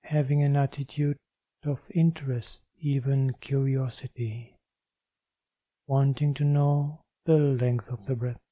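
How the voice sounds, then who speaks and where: A man leading a guided meditation as a teacher, with measured, deliberate phrasing and pauses. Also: medium pitch, little pitch movement, no echo, highs cut off.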